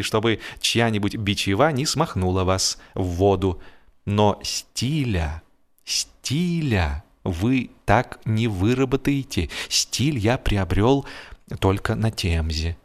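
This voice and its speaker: A man narrating comic literary prose as an audiobook, theatrical and expressive, with deliberate pauses and repetitions. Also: medium pitch. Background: music.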